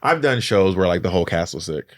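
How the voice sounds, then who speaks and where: A man co-hosting a podcast in casual chat, teasing and prodding with quick questions. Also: low-pitched, lively intonation, no echo, studio quality. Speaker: podcast co-host.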